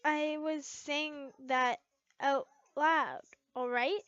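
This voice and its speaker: A woman's voice: sits high, fairly even intonation, drawn-out words, fairly bright tone, no echo, muffled recording, exaggerated voice. A woman voicing a shy, awkward young man who is smitten with his friend, hesitant, in an amateur story reading.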